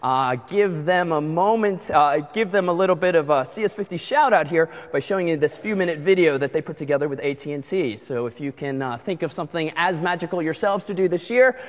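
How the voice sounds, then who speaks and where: A man's voice: a little high, animated, a little fast, hardly any pauses. A man lecturing in a large hall, giving chatty announcements with frequent ums and uhs. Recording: long hall reverb.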